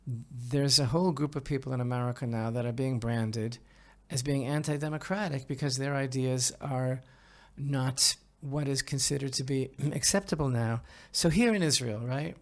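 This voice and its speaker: Gravelly man giving halting opinion commentary. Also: mid-range voice. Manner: halting and emphatic, repeats phrases and checks in with "right?". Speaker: podcast host and religious commentator.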